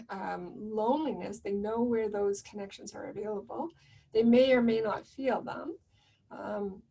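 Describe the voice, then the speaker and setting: A soft-spoken woman giving a gentle, reflective webinar presentation about loneliness over a video call. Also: mid-range voice, breathy edge, recorded from a distance, low-quality recording.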